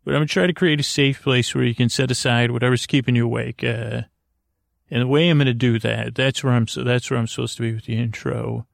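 A man with a creaky voice hosting a bedtime podcast, gently meandering and halting as he keeps interrupting himself. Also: mid-range voice, steady tone, dry recording, clean studio sound. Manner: gentle, lulling. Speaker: podcast host. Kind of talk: rambling bedtime monologue.

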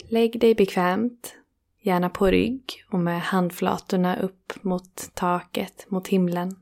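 A woman leading a guided relaxation meditation, speaking slowly and soothingly with long pauses between phrases. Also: fairly low pitch, little pitch movement, creaky, a little mellow.

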